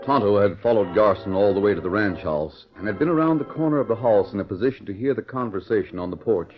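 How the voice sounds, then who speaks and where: A man's voice: on the low side, lively intonation, fast. A man narrating a 1940s radio drama in a scripted announcer style. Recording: dull vintage radio recording with music. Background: music.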